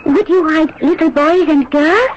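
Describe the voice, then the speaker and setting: High-voiced, earnest French teenage girl. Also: unhurried. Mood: earnest, pleading. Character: earnest, level-headed sixteen-year-old French farm-country girl. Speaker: radio drama actor. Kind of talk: radio mystery drama acting. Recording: muffled, noisy old radio recording.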